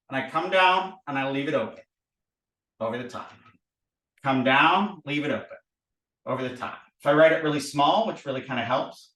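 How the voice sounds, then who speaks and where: A man teaching at a board, animated and drilling sounds by repetition. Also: a little high, reverberant, far from the mic, poor audio. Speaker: language teacher. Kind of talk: recorded board lesson. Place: indoor room with a board.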